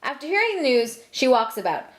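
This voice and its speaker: Young woman telling a funny story, kinda flippant, highish voice, really animated. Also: a little fast. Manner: flippant, casual. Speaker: narrator. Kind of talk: comic scripted storytelling.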